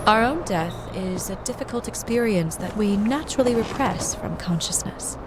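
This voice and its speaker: An actress playing a polite clinical guide in a video game, giving formal, measured, soothing instructions. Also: female voice, medium pitch, lively intonation, natural voice. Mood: calm, clinical. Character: polite clinical female guide running a memory synchronization test. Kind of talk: scripted game dialogue, procedural instructions. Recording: clear vehicle and ambient noise behind.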